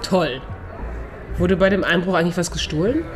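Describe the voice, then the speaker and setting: An actress playing a brisk, teasing woman with a sardonic streak, in a German radio crime drama. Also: fairly low pitch, a little hiss or hum, close to an everyday voice. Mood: brisk, curious. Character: brisk, cheeky woman doctor, teasing best friend.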